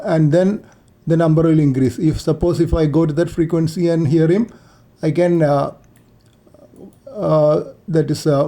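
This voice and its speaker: A man narrating an unscripted screen-share tutorial, explaining things in short, halting, step-by-step phrases. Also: a little high, mellow. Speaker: amateur radio operator giving a software demo.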